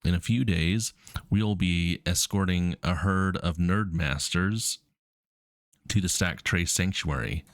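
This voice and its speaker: A man's voice: deep voice, slow pace, no room sound, clean studio sound. A man with a cold reading the lines of a polite, formal garrison captain. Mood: courteous. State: stuffy with a cold. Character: courteous male garrison captain, welcoming.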